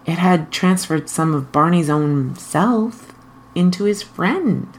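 A woman reading fan fiction aloud as an expressive scripted narration. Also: fairly low pitch, slow pace, low-quality recording.

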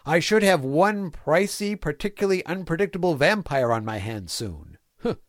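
A man performs a small-town mayor who is cheerfully evil and fussy about cleanliness. His voice sits a little high and swings expressively through clipped, folksy diary entries in a comic fan-fiction reading. The sound is dry and close. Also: expressive, normal speed, no echo, lightly acted. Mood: matter-of-fact scheming. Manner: folksy, prim, clipped list-like diary delivery. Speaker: fan audio drama voice actor.

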